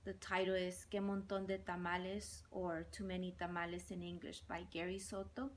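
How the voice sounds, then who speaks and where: Measured woman, Spanish native speaker. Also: fairly low pitch, little pitch movement, a little hiss or hum. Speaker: bilingual ESL teacher. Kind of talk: interview answers.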